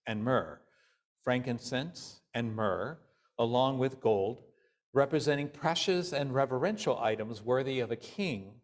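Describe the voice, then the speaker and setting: Man preaching a measured sermon. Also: mid-range voice. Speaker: minister.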